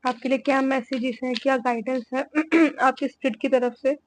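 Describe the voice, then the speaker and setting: A woman giving a tarot reading, hoarse from a cold, talking slowly and softly while mixing Hindi and English. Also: high-pitched, steady tone, a little hiss or hum. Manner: slow, soft-spoken, mixing Hindi and English. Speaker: tarot reader.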